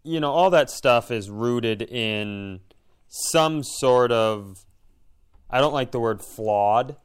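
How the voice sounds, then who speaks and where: A man co-hosting a casual chat, thinking aloud in a halting, reflective way and often breaking off to rephrase. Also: mid-range voice, smooth. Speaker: podcast co-host.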